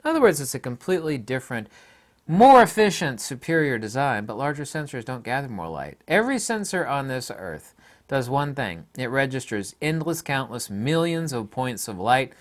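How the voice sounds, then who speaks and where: A man's voice: mid-range voice, big pitch swings, normal speed. A man giving an opinionated photography vlog monologue, speaking in an emphatic, blunt and scornful way. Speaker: photography YouTuber.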